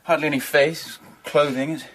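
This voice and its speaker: An actor playing a forceful, protective, suspicious gentleman in a radio drama, urgent and commanding. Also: fairly high pitch, fairly even intonation, moderate pace, rough recording. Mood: agitated, commanding.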